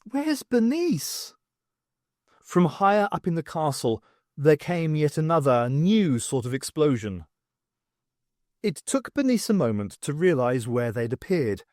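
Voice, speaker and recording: A man's voice: mid-range voice, darkish tone, no echo, clean studio sound. A man reading a scripted story aloud as an audiobook narrator. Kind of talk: scripted narration of a fan audiobook, acting out dialogue.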